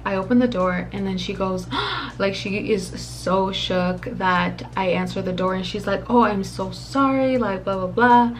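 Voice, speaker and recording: A young woman in her twenties telling a story to her camera in a casual, rambling way. She is profane, leans on lots of fillers, drops in Spanish phrases, and her voice is a little raspy from a dry mouth. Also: mid-range voice, unhurried. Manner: chatty, profane, rambling with many fillers and asides; mixes in occasional Spanish phrases. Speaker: vlogger.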